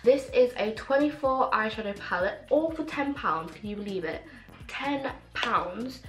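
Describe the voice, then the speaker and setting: A young woman reviewing makeup in a casual vlog, excitable and full of fillers, her voice a little rough from a cold and sore throat. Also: fairly high pitch, a little slow. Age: young adult. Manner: gushing, excitable, many fillers. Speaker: beauty vlogger. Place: small room at home, indoors. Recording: echoey room with faint music.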